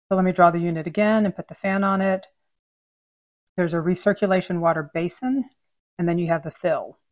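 A woman in her thirties explains technical equipment in a clear, steady teaching voice. Her pitch sits a little low for a woman, and the close, dry recording has almost no room sound. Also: no room sound. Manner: clear, steady instructional delivery. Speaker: HVAC training presenter. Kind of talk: scripted technical explainer over drawings.

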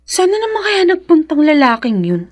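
A high-pitched Filipino woman's voice, playing an anxious partner who suspects she is being cheated on. She speaks in a dramatic radio-play style, full of worry and suspicion. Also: sing-song, normal speed. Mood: anxious, suspicious.

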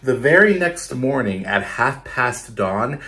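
A man in his thirties reading a picture book aloud to children, pausing to ask questions and explain, recorded in a small room. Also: mid-range voice, expressive, bright, forceful tone. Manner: instructive, pausing to question and explain to young listeners. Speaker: children's storytime reader. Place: small bedroom, indoors.

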